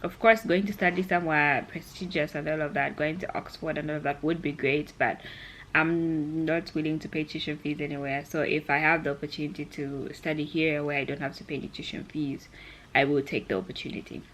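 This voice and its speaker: A woman's voice: low-pitched, fairly even intonation, fairly quick, a bit bright, slight background noise, rough recording. A young woman from Zambia talking casually to camera like a vlogger, in a chatty, rambling way that often doubles back on itself. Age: young adult. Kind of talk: casual vlog chat to camera.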